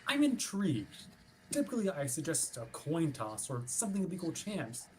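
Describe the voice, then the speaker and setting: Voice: medium pitch, very animated, normal speed, darkish tone, a little hiss or hum, lightly acted. An actor in a staged play reading playing a chipper, rule-bound ship officer who is formal, wordy and politely stubborn. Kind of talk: staged reading of a play over video stream.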